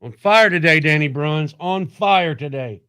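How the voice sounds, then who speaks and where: A man's voice: a little high, animated, a little slow, pushed and bright, clear, resonant tone, dry recording. A male morning radio host who chats casually, jokes and laughs often, and reads news stories aloud. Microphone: studio microphone.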